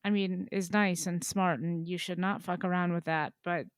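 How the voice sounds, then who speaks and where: A woman co-hosting a podcast in casual chat, blunt and quick to swear. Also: on the low side, fairly even intonation, smooth, dry recording, clean studio sound. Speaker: podcast co-host.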